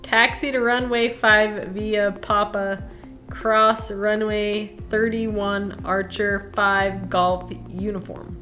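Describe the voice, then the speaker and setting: A woman's voice: medium pitch, little pitch movement, slow, sharp tone, soft background music. A young woman, speaking hesitantly and casually as a learner practising. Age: young adult. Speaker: student pilot. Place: couch in a living room, indoors.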